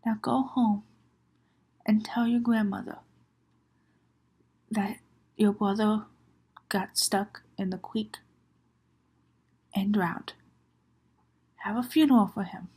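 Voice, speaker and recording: A woman voicing a sinister, calmly menacing graveyard spirit in a spoken horror story, hushed and falsely reassuring. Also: mid-range voice, slightly rough, pitched below the performer's own voice. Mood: quiet menace. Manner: hushed, slow-burning, falsely reassuring, partly whispered.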